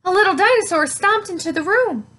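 A woman in her thirties reading a picture book aloud to children, with expressive, deliberate, emphatic phrasing. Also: very high-pitched. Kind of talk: children's read-aloud storytelling.

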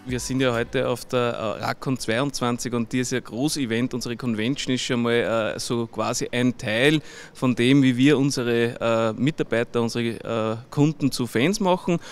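A man speaking haltingly in an interview, with many fillers. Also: medium pitch, steady tone, clear, resonant tone. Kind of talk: event interview.

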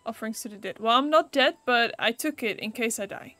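A woman's voice: fairly high pitch, fairly quick, a bit bright, no room sound, faint music behind. Chatty Dutch woman streaming a game. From Netherlands. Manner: sarcastic, chatty, jokes at the game. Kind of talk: casual gameplay commentary.

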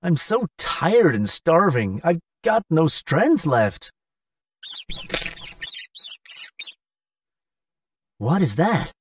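A man's voice: a little high, sing-song, slow, dry recording, clean studio sound, distant mic. A man playing a spoilt young gambler son in a dramatic, self-pitying cartoon style. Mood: weary. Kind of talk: English-language cartoon acting.

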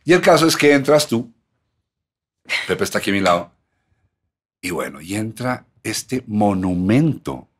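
Older man, lowish voice, enthusiastic host, stammers. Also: sing-song, a little slow, pauses often, husky. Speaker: podcast host and writer. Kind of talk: casual podcast conversation. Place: small podcast studio. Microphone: studio mic on stand.

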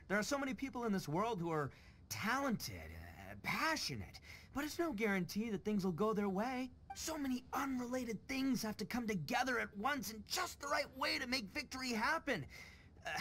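A man's voice: sits high, a bit bright, a little hiss or hum, heavily acted. A man playing a brash, boastful young oni brawler in an English video game dub, goofy and big-hearted, with boisterous, stammering bravado.

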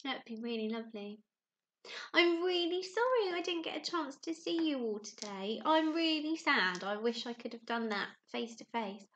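A woman's voice: high-pitched, normal speed, a little hiss or hum. A woman, a primary teacher reading and chatting expressively to young children. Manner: expressive, addressing children with questions.

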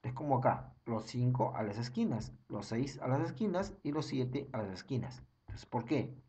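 Guy teaching a class online, mellow voice, dull webcam sound, keeps repeating steps. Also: medium pitch, animated, moderate pace. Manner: repetitive, step-by-step counting, frequent "ojo" and "ya". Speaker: chemistry teacher. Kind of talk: online class lecture over slides.

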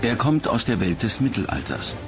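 A man's voice: mid-range voice, big pitch swings, moderate pace, loud music behind the voice. A man reading scripted documentary narration.

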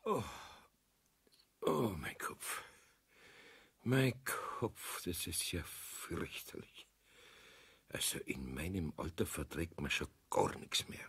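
A good-natured old master carpenter in a children's radio play. His voice is husky and gravelly. He speaks wearily and slowly, with big swings in pitch. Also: very animated, fairly bright tone, full character voice. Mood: groaning, hungover. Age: older.

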